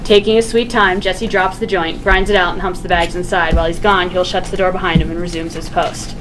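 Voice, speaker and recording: A woman's voice: lowish voice, fairly even intonation, a little fast, music in the background. A woman reading scripted stage directions as narrator at a table read. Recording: noisy room ambience.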